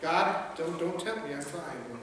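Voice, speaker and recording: A man's voice: on the high side, lively intonation, unhurried, very noisy. A middle-aged man preaching a sermon from a pulpit in a large church, in a conversational manner that repeats words as he goes. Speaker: pastor. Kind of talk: sermon with jokes and quoted voices. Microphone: pulpit mic, filmed from a far camera. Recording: echoey hall, far-off mic, faint ambience.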